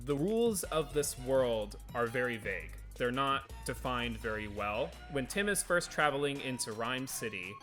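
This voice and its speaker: A man giving a casual film review as co-host of a movie review show. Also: medium pitch.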